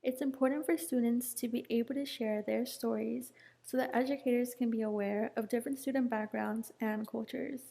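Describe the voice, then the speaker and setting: A woman's voice: fairly high pitch, steady tone, normal speed, vocal fry, mellow, big-room sound. A young woman from Mexico who grew up partly in the United States, reading a scripted personal statement as a student. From Mexico, emigrated to the United States young. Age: young adult. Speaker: student speaker.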